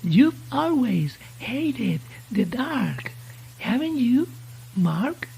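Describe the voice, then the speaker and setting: Woman putting on a slow, menacing radio announcer. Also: on the high side, sing-song, vocal fry, warm tone, slightly rough, voice pushed up, full character voice, rough recording. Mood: taunting. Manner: slow, taunting, mock-polite. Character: menacing, taunting radio host voice that knows secrets.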